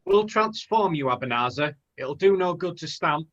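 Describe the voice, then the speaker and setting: A man's voice: a little high, expressive, moderate pace, fairly bright tone. A man playing an earnest young pantomime hero, declaiming rhymed lines over a video call. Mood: determined. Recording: dull lo-fi video call audio.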